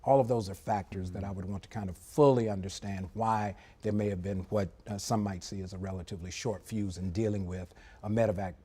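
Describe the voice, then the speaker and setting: Man, former air traffic controller, studio interview, measured and analytical, low warm voice. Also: steady tone, breathy edge. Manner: measured, analytical, with frequent fillers. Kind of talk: live TV interview.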